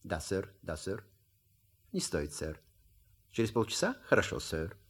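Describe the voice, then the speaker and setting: An actor playing an urbane, deferential manservant in an audio play, formal and courteous, with a husky voice. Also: male voice, sits low, very animated, measured pace, a little hiss or hum. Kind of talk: stage comedy audio play acting.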